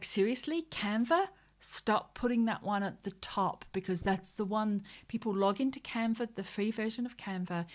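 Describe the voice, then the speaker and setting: A woman hosting a social media news livestream. She talks casually, slips into joking rants, and mutters quiet asides to herself while she looks for things on screen. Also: mid-range voice.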